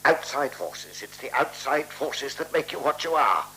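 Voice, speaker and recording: An actor playing a large, weary, grumbling lodger in a 1970s radio play, self-pitying and indignant, with an emphatic declamatory delivery. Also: male voice, medium pitch, very animated, moderate pace, bright, forceful tone. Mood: bitter, self-pitying. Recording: noisy, humming 1976 radio recording.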